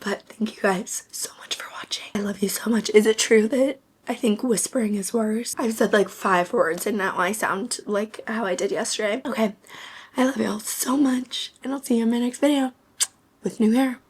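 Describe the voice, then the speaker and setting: A young woman vlogger with a hoarse, fading voice, chatting casually to the camera and often slipping into a breathy half-whisper. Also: a little high, steady tone, normal speed. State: hoarse, losing her voice. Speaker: lifestyle vlogger. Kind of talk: casual vlog chat to camera.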